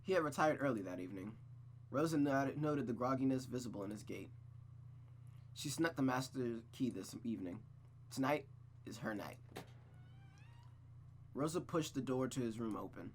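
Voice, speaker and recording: A man's voice: mid-range voice, lively intonation, normal speed, pauses often, slight background noise. A man reading a visual novel aloud in a suspenseful storytelling style, with playful, casual asides.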